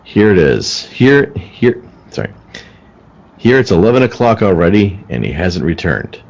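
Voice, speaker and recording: An actor playing a serious, baffled police detective in an old-time radio play, speaking in a grave, deliberate way. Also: male voice, low-pitched, sing-song, darkish tone, full character voice. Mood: baffled, brooding. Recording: dull, lo-fi call audio.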